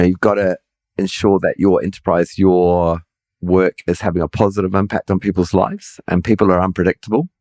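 Man, very low voice, nasal, hesitant, self-deprecating, dry sound. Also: darkish tone, no echo. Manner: hesitant, self-deprecating, many fillers and restarts. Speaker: podcast host. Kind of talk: casual conversational interview.

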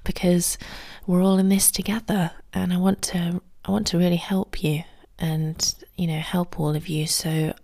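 A woman talks softly and calmly, her voice low with a gravelly fry, often dropping close to a whisper. She hosts a solo podcast from a quiet home early in the morning, and the sound is dry and close. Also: normal speed, hardly any pauses, no room sound. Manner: soft, calm and quiet, often near a whisper. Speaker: podcast host and ballet teacher. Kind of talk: solo podcast advice talk.

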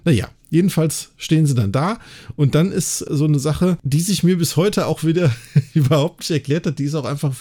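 A man's voice: a little high, brisk, dark tone. A man with a German accent, co-hosting a podcast, casually retelling and analysing a story in a chatty way full of tag questions. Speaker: podcast host.

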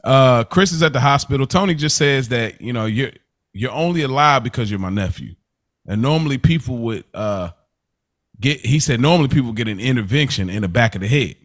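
Man, mid-pitched voice, podcast host, informal slangy talk, casual conversation. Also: lively intonation, fairly quick. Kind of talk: casual chat reviewing a TV series.

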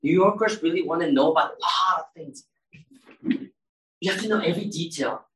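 A man's voice: on the high side, brisk, fairly bright tone. Pastor guy giving a chatty sermon, sounds roomy and kinda far from the mic. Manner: conversational, asks the congregation questions. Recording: roomy echo, mic at a distance.

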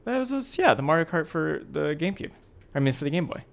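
A man's voice: on the high side, big pitch swings, quick pace, vocal fry. A young American man co-hosting a podcast, speaking in casual, informal and opinionated chat. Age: young adult. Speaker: podcast co-host.

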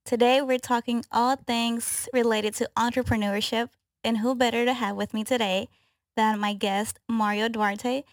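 A woman's voice: on the high side, little pitch movement, moderate pace, no echo. A woman hosting a podcast, asking questions in a casual, conversational way. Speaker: podcast host, interviewer.

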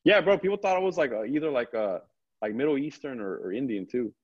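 A man's voice: mid-range voice, animated, slightly rough. Male podcast guest, slight lisp, loose slangy rambling, lo-fi video call sound. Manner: halting, rambling, peppered with slang and swearing. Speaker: podcast guest, comedian and film director. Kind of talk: casual chat, slangy storytelling. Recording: dull, lo-fi video call audio.

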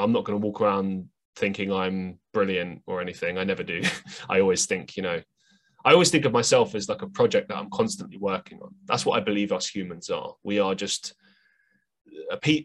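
A man's voice: fairly low pitch, a bit bright. A man hosting his own podcast, talking alone in a rambling, earnest, self-deprecating way with plenty of ums and restarts. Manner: reflective, earnest. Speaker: podcast host. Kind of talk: solo podcast monologue.

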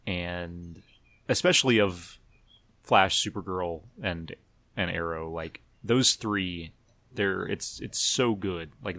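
A man with a low voice talks as a podcast co-host in a dry-sounding recording. His delivery is informal and slightly hesitant, like a fan sharing opinions among friends. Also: creaky, a bit bright, no room sound. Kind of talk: casual fan discussion of superhero TV.

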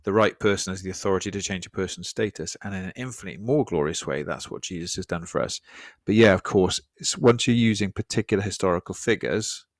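A man's voice: low voice, quick pace, few pauses, no echo, clean studio sound. A man, a preacher, talking over his sermon in a casual church podcast chat, thoughtful and self-deprecating, often repeating words.

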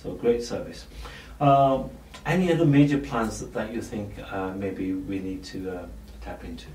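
Low-voiced man hosting, echoey and distant. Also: moderate pace, darkish tone. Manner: eager, with many agreeing fillers. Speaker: podcast host. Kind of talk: casual interview questions. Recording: echoey room, distant mic, faint noise.

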